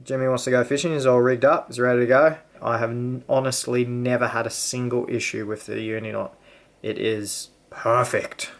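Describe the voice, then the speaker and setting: Man, gravelly voice, laid-back slangy fishing host explaining knots. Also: medium pitch, little pitch movement, moderate pace. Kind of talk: casual how-to demonstration.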